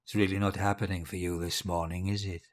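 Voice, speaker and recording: An actor playing a dying, deranged dictator, cruel, grandiose and childish, in a theatrical audio drama monologue. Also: male voice, low voice, flat delivery, lightly acted. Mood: sardonic, tender. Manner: theatrical, volatile, slow and deliberate, swinging from hush to bellow.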